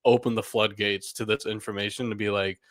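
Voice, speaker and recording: A man's voice: on the low side, level tone, fairly quick, no room sound. A young man hosting a casual sports podcast, a little under the weather and rambling with lots of "like" and laughs. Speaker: podcast host.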